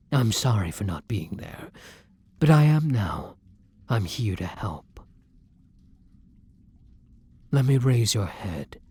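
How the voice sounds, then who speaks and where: Man as a hushed, breathy, tormented vampire. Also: on the low side, full character voice. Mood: tender concern. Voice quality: breathy, hushed, dropping into whispers. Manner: slow, halting, dramatic pauses. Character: tormented, gentle male vampire torn between hunger and compassion. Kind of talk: intimate ASMR roleplay monologue.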